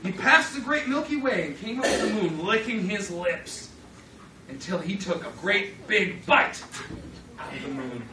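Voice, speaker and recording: A woman's voice: mid-range voice, expressive, a little slow, bright, forceful tone. A woman telling a story live to children in a dramatic manner, feeding short lines to be repeated. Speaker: storyteller leading a children's puppet show. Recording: roomy, distant mic, noisy room.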